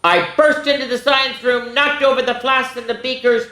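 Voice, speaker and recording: Woman as a high-voiced boastful storybook turkey, echoey. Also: steady tone, moderate pace, sharp tone. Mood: excited, dramatic. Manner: boastful, dramatic rhyming storytelling. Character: proud, excitable turkey telling children its escape tale. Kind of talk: rhyming picture-book read-aloud. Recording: roomy, echoing room sound.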